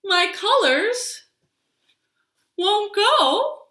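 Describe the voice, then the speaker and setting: A woman voicing an expressive talking tree in a children's storybook, with drawn-out rhyming delivery. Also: very high voice, pitched above the performer's own voice, heavily acted. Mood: frustrated, exasperated. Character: talking tree, expressive, cheerful then exasperated by autumn. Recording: roomy, echoey home recording.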